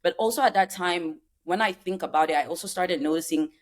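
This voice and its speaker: A woman's voice: fairly low pitch, fairly quick. A woman in her thirties from Cameroon talks as a podcast guest. She rambles in easy, casual chat and leans on fillers. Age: 30s. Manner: chatty, rambling with many fillers.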